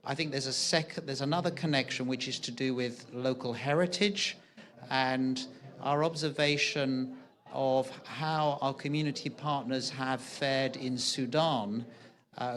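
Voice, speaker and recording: A man speaking on a conference panel, thoughtful and unhurried, with frequent hesitations. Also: medium pitch, fairly bright tone. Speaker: panel speaker on early warning systems.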